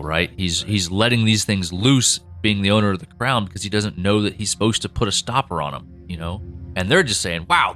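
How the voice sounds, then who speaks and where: A man co-hosting a behind-the-scenes podcast, talking through the lore of his audio drama with animated enthusiasm and plenty of fillers. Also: on the low side. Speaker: podcast co-host, show creator. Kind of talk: casual behind-the-scenes chat.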